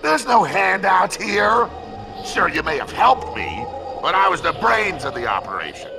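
Cranky bossy game foreman, high creaky voice, music playing behind. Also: very animated, a little slow, full character voice. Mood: irritated, bossy. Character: grumpy bossy male logging camp supervisor cook. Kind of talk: cartoon video game voice acting.

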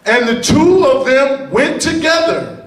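A middle-aged man preaches in a very high voice, reading scripture and driving his points home with sudden shouts. He speaks into a handheld mic in a large church hall, heard from a distance with a roomy echo. The congregation murmurs faintly behind him. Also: animated, a little slow. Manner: emphatic, bursts into shouted lines. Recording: echoey hall, heard from afar. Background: congregation responding.

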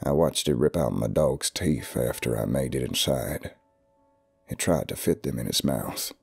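A man's voice: deep voice, lively intonation, moderate pace, vocal fry, darkish tone, slightly rough, faint music behind, full character voice. A man with a Southern US accent voicing a stern, ominous older recluse.

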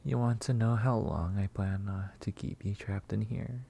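A man playing a flirty, possessive, delusional vampire master in a roleplay ASMR monologue, teasing and theatrical with drawn-out words. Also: lowish voice, fairly even intonation, gravelly, dark tone, slightly rough. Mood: smug teasing.

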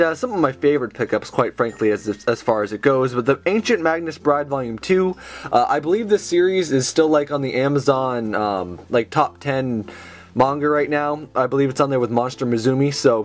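A young man who is sick and has a sore throat, chatting casually with lots of fillers as he reviews his book haul indoors. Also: medium pitch. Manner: chatty, enthusiastic, many fillers. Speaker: manga haul video host. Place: indoors at a table with books.

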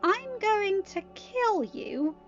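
A woman reading a story, voicing a feisty, sharp-tempered teenage girl with an exasperated, emphatic delivery. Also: very high voice, very animated, extremely slow, voice pushed up, exaggerated voice. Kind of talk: amateur story reading with dialogue. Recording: dull lo-fi audio, music behind.

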